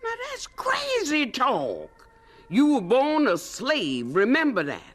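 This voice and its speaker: An actress playing an older, plain-spoken, skeptical aunt with a folksy, scolding manner in a radio drama. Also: female voice, sits high, huge pitch swings, takes it slowly, heavily acted. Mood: scolding, disbelieving.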